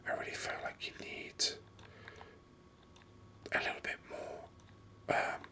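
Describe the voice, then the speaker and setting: A man speaks entirely in a breathy whisper, crisp and very bright in tone. He gives ASMR commentary on a video game, musing aloud without hurry, close to the microphone. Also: slow pace.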